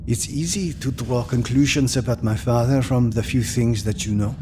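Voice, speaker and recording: A man's voice: mid-range voice, moderate pace, noisy background, lightly acted. An actor playing a reflective, solemn man in his eighties, haunted by memories of his father, in an audio drama. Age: 80s. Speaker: storyteller recalling his childhood. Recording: music under the voice.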